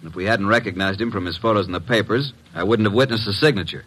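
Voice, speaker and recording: An actor playing a polite, confident detective's assistant in an old-time radio drama, speaking in brisk statements. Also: male voice, fairly low pitch, fairly bright tone, breathy edge, low-quality recording. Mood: businesslike.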